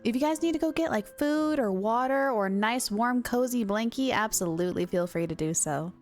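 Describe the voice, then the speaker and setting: A woman's voice: a little high, lively intonation, a little fast, soft background music. A woman live-streaming a game, chatting casually with viewers in a bubbly, giggly manner.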